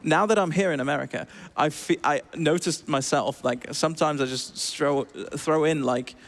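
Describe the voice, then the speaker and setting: A man's voice: fairly high pitch, moderate pace. A young Swiss man with a British-sounding accent, chatting casually as he plays a speedrun. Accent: British-sounding English. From Switzerland. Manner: relaxed, chatty, explains as he plays. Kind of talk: casual commentary while playing.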